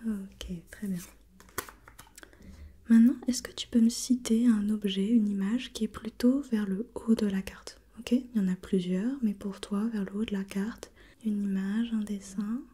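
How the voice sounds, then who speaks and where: A woman whispering breathily in an ASMR role play, gently putting questions step by step as an examiner and softly repeating herself. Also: mid-range voice, fairly even intonation, unhurried, slightly rough. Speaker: examiner in a role-played visual test.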